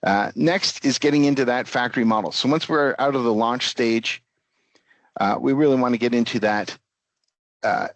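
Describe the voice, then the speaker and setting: Man giving a halting business presentation. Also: mid-range voice, dry recording. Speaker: company executive presenting. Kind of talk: business presentation with product demo pitch.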